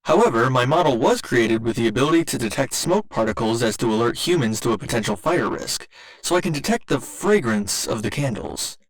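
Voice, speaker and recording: A man's voice: mid-range voice, expressive, fairly quick, natural voice, rough recording. A man voicing a polite, earnest robot boyfriend in an ASMR roleplay, speaking in formal, precise and naively literal language. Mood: curious, polite. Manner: formal, precise, careful wording with occasional glitchy stutters.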